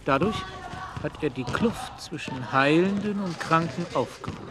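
In a long, echoing space, an actor plays a clinic doctor in a radio play. His male voice sits a little high. He explains formally and proudly, like a guide. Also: expressive, normal speed, lightly acted. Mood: proud, instructive. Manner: formal, lecturing. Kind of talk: radio drama dialogue. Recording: long reverberant hall echo.

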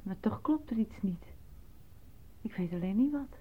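An actress playing a sceptical, sharp-tongued wife who questions and needles in a radio drama style. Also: female voice, medium pitch, lively intonation, normal speed, noisy background, lightly acted. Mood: doubtful, probing. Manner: sardonic, questioning. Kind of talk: Dutch radio drama acting. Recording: tinny, narrow-band old radio play.

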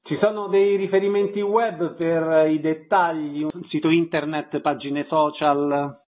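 A man's voice: on the high side, steady tone, unhurried. A man working as a radio host, interviewing guests about theatre. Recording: muffled lo-fi radio audio.

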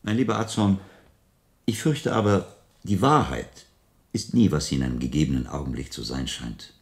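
Very deep-voiced learned friar. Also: male voice, moderate pace. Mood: gently cautioning. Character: learned Franciscan friar, gently sceptical mentor. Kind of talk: radio drama acting.

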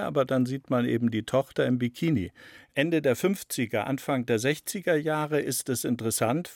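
Creaky-voiced man explaining analytically. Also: mid-range voice, darkish tone, no echo, studio quality. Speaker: interviewed expert on a criminal case. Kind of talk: analytical interview answers.

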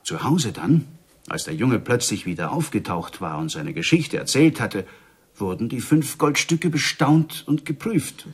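A man's voice: mid-range voice, very animated, measured pace, husky. An actor playing a village man who earnestly relays news as a storyteller in a radio play. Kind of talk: German radio play acting.

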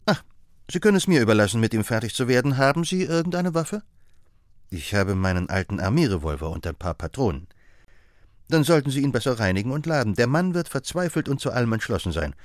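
A man voicing a sharp-witted detective who lays out his reasoning in a brisk, assured way. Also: medium pitch, sing-song, no echo, clean studio sound, voice pushed up, natural voice. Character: sharp-witted male detective, confident and explaining.

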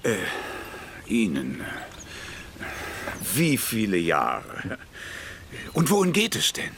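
An actor playing a warm, garrulous old staff captain in a German radio play, effusive, agitated and easily hurt. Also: male voice, on the low side, wildly swooping pitch, takes it slowly, a little hiss or hum, heavily acted. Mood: effusive, pleading. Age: older.

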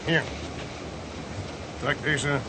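An actor playing a blunt, commanding military intelligence chief in a radio drama, speaking in clipped, authoritative phrases. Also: male voice, fairly high pitch, steady tone, drawn-out words, noisy background. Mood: brisk commanding. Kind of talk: Dutch radio drama acting.

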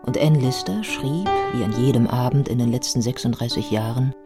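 A man playing a reflective biographer who narrates a radio play in sober literary prose, with a husky voice. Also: medium pitch, a little fast, lightly acted. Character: reflective biographer recounting a life with sober sympathy. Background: music.

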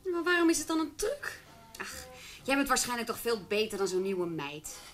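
Young jealous girlfriend, high lively voice, eager and probing, radio play with music. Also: moderate pace. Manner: inquisitive, pointed. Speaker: actor. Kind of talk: radio drama dialogue.

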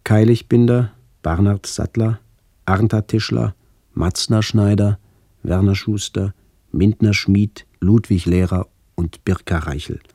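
A middle-aged man reading a literary memoir for radio in a measured, sober way, with a gravelly voice. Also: on the low side, dark tone, no echo, studio quality. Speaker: author-narrator of a memoir radio play. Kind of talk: scripted literary narration, reminiscence.

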